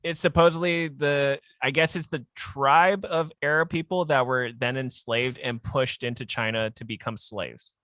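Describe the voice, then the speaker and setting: A man's voice: medium pitch, normal speed, fairly bright tone, dry recording. A man co-hosting a casual podcast in a radio studio, joking and offhand. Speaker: podcast co-host. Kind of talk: casual chat. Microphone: studio mic.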